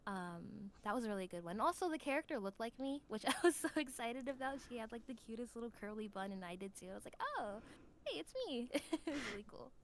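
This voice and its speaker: A woman giving a casual interview as a voice actor, telling stories in an animated, giggly way. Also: fairly high pitch, fast talker, a little hiss or hum. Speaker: voice actor, podcast guest.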